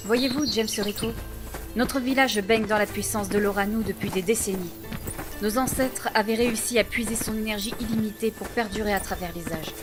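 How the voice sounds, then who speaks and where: A woman playing a solemn, low-voiced clan chief. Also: lightly acted. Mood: stately, explaining. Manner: formal, measured, ceremonious phrasing. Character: proud, solemn female clan chief of a primitive village. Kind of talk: scripted fantasy audio drama acting. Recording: voice under clear background music.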